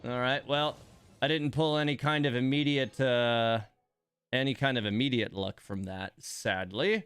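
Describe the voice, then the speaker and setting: Exasperated man streaming, slow and clear. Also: mid-range voice, steady tone, fairly bright tone, dry recording. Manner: exasperated, grumbling, self-deprecating. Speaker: live streamer and host of a gaming channel. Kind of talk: casual livestream chat while playing a gacha game. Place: indoors at home computer.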